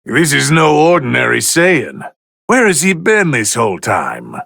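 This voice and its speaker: Imperious, bored video game deity commentator: theatrical and easily irked, forceful gravelly tone, pitched a bit high, very dry recording. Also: male voice, dry recording, heavily acted. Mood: intrigued. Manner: pompous, theatrical, drawling disdain. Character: haughty, bored god of destruction, male, easily irritated. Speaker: fighting game commentator character.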